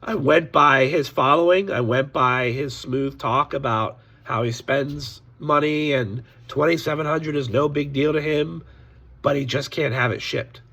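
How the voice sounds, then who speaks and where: A hoarse man talking candidly to his viewers in a casual vlog, complaining about a deal and warning them. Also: a little high, unhurried, bright, forceful tone. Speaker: sports card seller. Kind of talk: casual direct-to-camera vlog.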